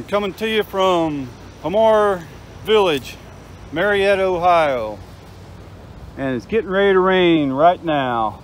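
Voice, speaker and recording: A man's voice: high-pitched, a little hiss or hum. A man in his fifties giving an enthusiastic, chatty video tour outdoors, talking into a handheld phone camera. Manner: chatty, enthusiastic, points things out. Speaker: vlogger. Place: outdoors by a river.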